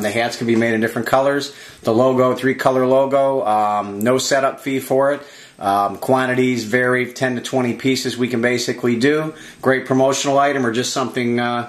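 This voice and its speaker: A man giving an informal, unscripted product demonstration in a halting, plain-spoken way. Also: medium pitch. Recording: echoey room.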